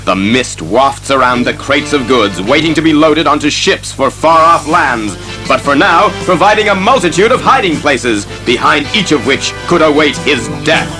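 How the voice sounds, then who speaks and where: A man's voice: on the high side, expressive, normal speed, low-quality recording. A man narrating a superhero audio drama in a theatrical, suspenseful scripted style. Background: dramatic music.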